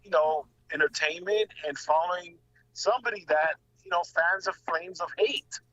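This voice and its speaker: High, bright-voiced man on a muffled call. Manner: blunt, profane, halting with repeated phrases. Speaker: guest commentator. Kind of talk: casual political commentary.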